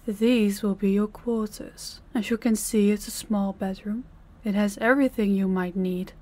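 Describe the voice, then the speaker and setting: Woman acting a mellow, commanding female mafia boss. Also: medium pitch, fairly even intonation, slight background noise, natural voice. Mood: cool, commanding. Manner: commanding, condescending, deliberate. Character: dominant, cold female mafia boss, condescending and controlling. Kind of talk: roleplay audio acting, addressing the listener.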